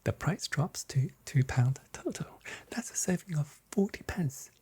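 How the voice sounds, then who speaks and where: A soft-spoken ASMR performer plays a grocery shop assistant in a hushed whisper. The voice is extremely breathy and dark, with very little clear tone. The delivery is gently playful sales patter, meant to relax. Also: mid-range voice, expressive, measured pace.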